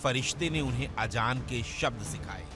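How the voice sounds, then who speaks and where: A man's voice: medium pitch, expressive, normal speed. A man delivering scripted, dramatic news documentary narration in a solemn, emphatic storytelling manner. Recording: music under the voice. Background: background music.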